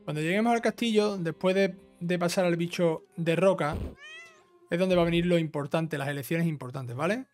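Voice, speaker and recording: A man's voice: a little high, moderate pace, a little mellow, soft background music. A man with an accent from Spain giving an informal, instructional step-by-step video game walkthrough. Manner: instructional, often ends phrases with a checking tag. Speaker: video game trophy guide host.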